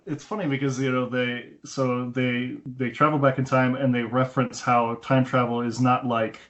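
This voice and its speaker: A man's voice: medium pitch, little pitch movement, moderate pace, a bit bright. A man co-hosting a casual film podcast over an internet call, rambling along in an informal, conversational way. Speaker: podcast co-host reviewing films. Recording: dull, lo-fi Skype call.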